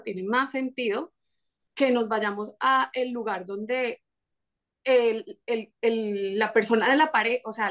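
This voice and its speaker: Lively Colombian woman telling her story. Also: a little high. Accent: Colombian Spanish. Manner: lively storytelling with frequent restarts and laughs. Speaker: podcast guest. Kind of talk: casual interview chat.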